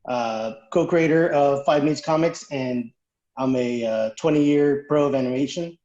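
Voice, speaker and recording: A man's voice: mid-range voice, normal speed, a little mellow. Texas animator guy, roomy echo, kinda muffled. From Texas, United States. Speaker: professional animator. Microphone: video call. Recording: roomy, muffled call audio.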